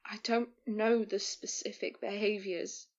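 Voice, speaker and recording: An actress playing a shaken but firm medical worker burdened by guilt, in a naturalistic audio drama. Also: female voice, mid-range voice, fairly even intonation, unhurried, muffled recording, close to an everyday voice. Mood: subdued, guarded.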